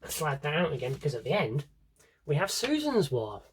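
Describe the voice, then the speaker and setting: A man with a slightly husky voice chats casually as a fan showing off a collection, rambling with wry, dry asides. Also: on the high side, wildly swooping pitch, moderate pace, a little hiss or hum. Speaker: audio drama collector and fan. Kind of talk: casual rambling shelf-tour vlog chat.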